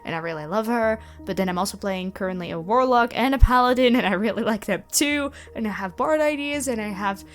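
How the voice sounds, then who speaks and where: A woman with an enthusiastic, expressive way of talking, casually answering questions as the host of her own channel. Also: medium pitch, a little fast, few pauses. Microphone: close USB microphone. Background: background music.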